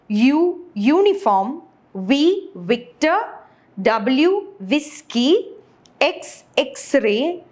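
High-pitched, lively young Indian-accented woman lecturing. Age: 20s. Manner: explanatory, rhetorical questions to structure points. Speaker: aviation lecturer. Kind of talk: instructional lecture.

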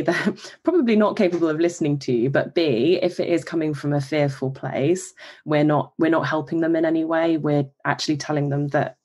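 A woman dog behaviourist calmly explaining behaviour with examples in a podcast interview. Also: low-pitched. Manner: calm, explanatory, gives examples.

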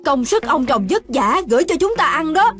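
High-voiced, brisk cartoon mom. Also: female voice, big pitch swings, fairly bright tone, soft background music. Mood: stern. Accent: southern Vietnamese. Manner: scolding, instructing. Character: brisk, nagging young mother of a small boy. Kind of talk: Vietnamese cartoon dubbing.

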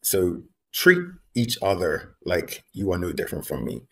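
A man in his thirties from Bermuda, a homeless advocate, talking in an earnest, measured way over a video call from home and repeating key phrases for emphasis. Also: low-pitched, animated, gravelly, a bit bright, slight background noise. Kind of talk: reflective interview talk. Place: room at home. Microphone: video call, laptop camera.

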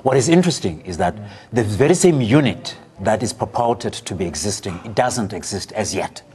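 A middle-aged man, giving measured analysis as an academic on a studio panel. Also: fairly low pitch, animated, darkish tone. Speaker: political analyst, professor.

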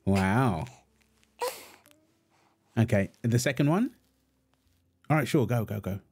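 A man playing a gentle, reassuring young father and husband in an intimate audio roleplay, talking in a soothing, affectionate, casual way. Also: mid-range voice, lively intonation, slow, husky, soft background music, exaggerated voice. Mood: amused, playful. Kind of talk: intimate comfort roleplay, casual one-sided dialogue.

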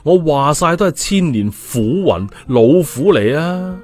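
A man's voice: a little high, animated, unhurried. A man voicing a proud, indignant thousand-year-old ghost tiger protesting in an audiobook story.

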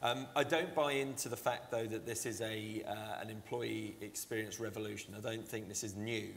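Wry panelist guy in his 30s, gravelly, hall echo and faint hum. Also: lowish voice, fairly even intonation, moderate pace, a bit bright. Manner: wry, self-deprecating. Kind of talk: conference panel talk. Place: large event hall stage. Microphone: lapel mic. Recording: reverberant hall, faint noise.

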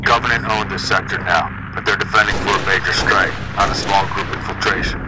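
A man's voice: deep voice, little pitch movement, a little fast, lightly acted. An actor playing a male soldier giving a businesslike mission briefing in a video game. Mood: focused. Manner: businesslike, instructing. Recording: loud gunfire and aircraft noise behind.

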